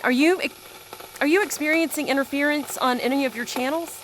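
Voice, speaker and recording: Audio drama role: a dutiful, uneasy female base operator, highish voice, radio call-outs over clear background noise. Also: a little fast, fairly bright tone, low-quality recording. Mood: puzzled, uneasy. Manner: radio-procedure call-outs, increasingly urgent.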